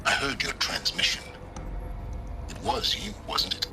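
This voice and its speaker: An actor playing an enigmatic, calculating scholar who speaks in a measured, wry manner with deliberate pauses. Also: male voice, over music, lightly acted. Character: enigmatic scholarly male outcast, calm and calculating.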